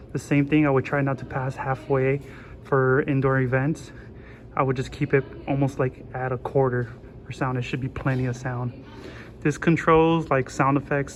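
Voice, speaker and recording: A young man giving a casual hands-on tutorial in an informal, reassuring, plain-spoken way, recorded on a phone in a large indoor hall. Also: mid-range voice. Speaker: instructor. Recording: steady background noise, room ambience.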